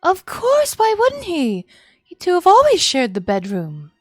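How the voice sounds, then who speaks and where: A woman's voice: very high-pitched, wildly swooping pitch, a little slow, no echo, clean studio sound, soft background music, voice pushed up, exaggerated voice. A woman voicing a cheerful, bossy and unhinged mother with an overly chirpy, sing-song delivery in a visual novel. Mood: bright, insistent.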